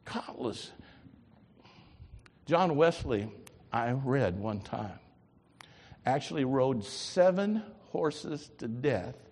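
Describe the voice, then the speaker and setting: An older man preaching a sermon as pastor, lively, swinging from loud to soft. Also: medium pitch, big-room sound.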